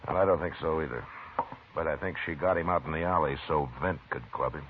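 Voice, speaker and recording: Steady old-west lawman, super deep voice, slow and firm, tinny radio. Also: a little hiss or hum. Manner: measured, firm and deliberate. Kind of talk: 1950s radio acting.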